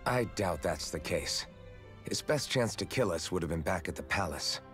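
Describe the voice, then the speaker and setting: An actor playing a calm, level-headed male warrior in a video game, plain and understated. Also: sits low, moderate pace. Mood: reasoning. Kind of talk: video game cutscene acting. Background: music.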